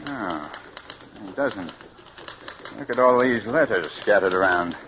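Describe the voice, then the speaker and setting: Practical showman, man, lowish voice, polite and concerned, vintage radio drama, muffled noisy sound. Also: expressive, measured pace. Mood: pitying. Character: businesslike, concerned man running a radio show. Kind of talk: 1940s radio drama acting.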